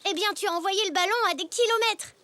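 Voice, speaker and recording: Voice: extremely high pitch, fast, bright, forceful tone. An actor voicing a playful, confident little zebra child in a French children's cartoon, with music behind. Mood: teasing.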